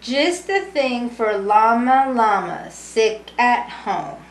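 A woman's voice: fairly high pitch, animated, slow pace. A middle-aged woman reading a rhyming picture book aloud to young children in a singsong way, with little explanatory asides. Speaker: storybook reader, early childhood education student. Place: small indoor room with brick wall. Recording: roomy, echoing home recording.

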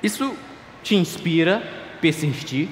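A pastor in his thirties preaching a sermon at a pulpit, passionate and pleading, dropping to quiet asides. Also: a little high, expressive, measured pace, slight background noise. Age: 30s. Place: large dark church stage at a pulpit. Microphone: stand mic at a clear pulpit. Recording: echoey church hall, faint ambience.

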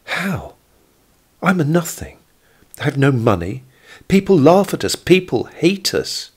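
Man voicing a bitter, defiant young woman, pitched up. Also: very animated, measured pace, husky, full character voice. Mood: angry, bitter. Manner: vehement, bitter. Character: defiant, distrustful poor young woman of a scorned sect.

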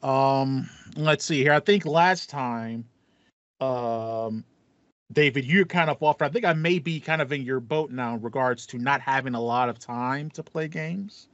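An American man co-hosts a casual gaming chat in a playful, slangy manner. His voice sits at a middle pitch. The home recording is very dry and slightly muffled. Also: a little slow, a bit bright, no echo. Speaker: podcast co-host. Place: small home room with acoustic foam, indoors.

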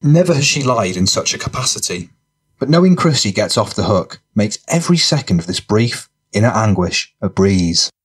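Male narrator for crime fiction audiobook, deeper register, slightly rough husky voice, scripted storytelling. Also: very animated, moderate pace.

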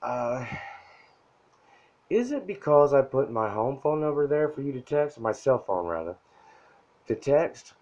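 A soft-spoken man talks straight at the listener, blunt and profane, pushing people to reach out for help. His voice sits at an ordinary middle pitch. The sound is dull and lo-fi, like a phone camera mic in a room. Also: very animated, unhurried, pauses often. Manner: blunt, profane, pushy pep talk. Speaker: self-help vlogger. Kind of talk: casual motivational talk to camera. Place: indoor room at a whiteboard.

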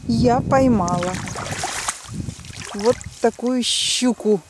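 A woman on a fishing trip, talking casually and exclaiming excitedly over the catch outdoors by a lake. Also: a little high, drawn-out words. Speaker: fishing companion. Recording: wind and water noise outdoors.

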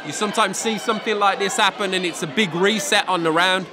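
High-voiced British ringside commentator. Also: male voice, quick pace. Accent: British English. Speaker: fight commentator. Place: function hall at a kickboxing event. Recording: loud crowd and hall noise behind.

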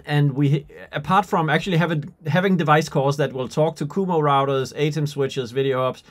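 Slightly high-voiced man demonstrating software. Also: fairly even intonation. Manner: thinking aloud, halting while demonstrating. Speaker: product demonstrator. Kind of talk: unscripted software tutorial and product demo.

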